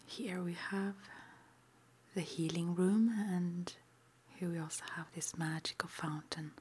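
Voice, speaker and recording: A woman whispering breathily in an improvised ASMR roleplay, halting and gently reassuring as she plays a guide at a witch school. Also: fairly low pitch, fairly even intonation, a little hiss or hum.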